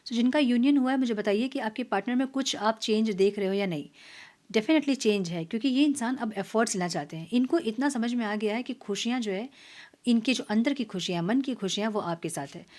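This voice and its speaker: A woman hosting a tarot reading, slightly hoarse, talking casually and dropping to near-whispers at times. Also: a little high, fairly quick. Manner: soft, mixing Hindi and English, drops to near-whispers while shuffling. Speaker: tarot reader.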